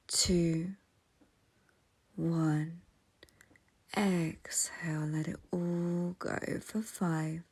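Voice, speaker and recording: A woman leading a guided meditation in a soft, breathy, whispery voice, gentle and soothing, with long pauses. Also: on the low side.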